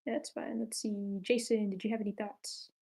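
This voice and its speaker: A woman's voice: mid-range voice, level tone, normal speed, gravelly, warm tone. A woman casually hosting a group discussion, calling on people for feedback. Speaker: host of an online screenplay table read.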